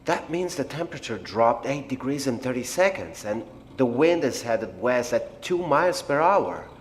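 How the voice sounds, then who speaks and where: A man playing a reflective, apologetic scientist in an audio drama, explaining things in a measured, hesitant way. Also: medium pitch, big pitch swings, a little hiss or hum, close to an everyday voice. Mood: focused. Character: blind male scientist, reflective, apologetic, technical project doctor.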